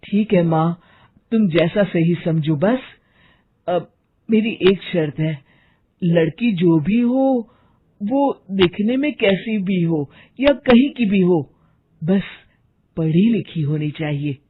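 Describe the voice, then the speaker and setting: A woman's voice: on the low side, expressive, slow, pauses often, slightly rough, narrow, tinny audio, full character voice. A woman voicing a polite, obedient young adult son in a cartoon story, speaking in a placating, plain way. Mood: hesitant, agreeable.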